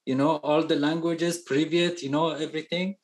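A man whose first language is Persian, a touring music producer chatting as a guest, halting with many fillers and restarts, playful. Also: on the high side, flat delivery. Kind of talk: casual interview chat.

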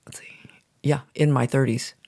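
A middle-aged woman whose first language is Chinese, thinking aloud in a casual conversation with halting restarts. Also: extremely low pitch, fairly even intonation, creaky, warm tone. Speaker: client receiving a reading.

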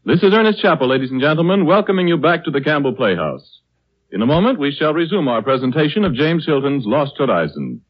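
Animated, high-pitched American male radio announcer. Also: fast. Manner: formal, polished, emphatic delivery. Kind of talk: 1930s radio announcing, scripted. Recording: slightly muffled old 1930s radio recording.

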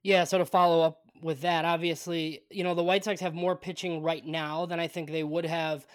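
A male podcast host with a slightly high voice, recorded close and dry. He chats casually about sports and puts questions to a guest. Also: little pitch movement, normal speed, dry recording.

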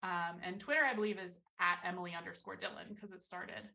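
A woman from Maryland, a writer and teacher, chatting as a guest with a low voice, some vocal fry and many fillers. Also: fairly even intonation, quick pace, pushed and bright. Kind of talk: casual interview chat. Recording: roomy, somewhat far from mic.

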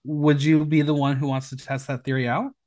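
A man's voice: a little high, little pitch movement, fairly quick, a little mellow. A man hosting a casual recap show, narrating events in a playful, theatrical way and tossing out questions. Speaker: podcast host. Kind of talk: casual episode recap commentary with rhetorical questions.

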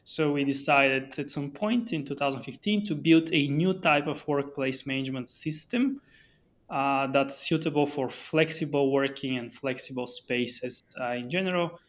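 A man's voice: medium pitch, moderate pace, lo-fi sound. A man, a company founder guest on a podcast interview, talking with many fillers and restarts. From Bulgaria.

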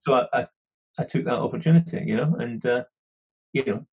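A man's voice: mid-range voice, a little fast, gravelly, a little mellow, husky. A middle-aged man with a Scottish accent, a podcast guest chatting casually and rambling with many restarts. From London. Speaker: podcast guest, studio manager. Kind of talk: casual interview chat.

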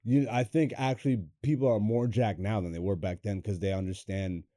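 A young man hosting a comedy podcast in a studio, chatting loosely with lots of swearing, swinging between loud hype and low mumbles. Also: fairly even intonation, a little fast, dry recording. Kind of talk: casual podcast chat.

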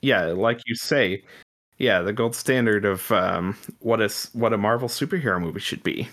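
A man with a fairly low voice hosts a casual podcast about film and TV. His delivery is informal and rambling, and he drops swear words freely as he goes. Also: normal speed, fairly bright tone. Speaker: podcast host.

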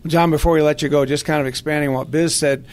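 A man's voice: a little high, steady tone, quick pace, a little mellow. A man hosting a sports podcast in a conversational radio style, speaking earnestly and with complimentary phrasing.

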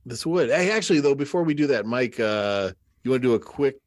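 A man's voice: medium pitch, animated, brisk, no room sound. A man co-hosting a casual podcast, teasing and joking, telling stories with fillers. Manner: jokey, teasing, storytelling with laughs and fillers. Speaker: podcast co-host.

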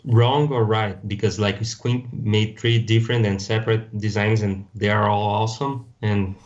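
A man from Brazil with a Brazilian Portuguese accent, a Portuguese speaker being interviewed on a podcast, often restarting his sentences. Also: lowish voice. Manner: halting, restarts often, relaxed. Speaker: guest artist. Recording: slightly dull, lo-fi sound.

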